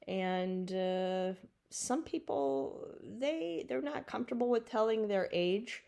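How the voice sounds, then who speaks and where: A woman's voice: mid-range voice, lively intonation, slow, vocal fry, warm tone. A woman in her forties hosting a solo podcast, answering questions off the cuff in a frank, rambling, matter-of-fact way. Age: 40s. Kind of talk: casual unscripted solo podcast talk.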